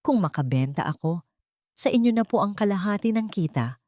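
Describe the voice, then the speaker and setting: A woman narrator plays a young Filipina mother in an audio drama story, desperate and humble. She speaks respectfully and pleadingly, in a mellow voice with a very dry sound. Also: medium pitch, lively intonation, fairly quick, no echo, voice pushed up, close to an everyday voice. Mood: earnest. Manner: deferential, pleading.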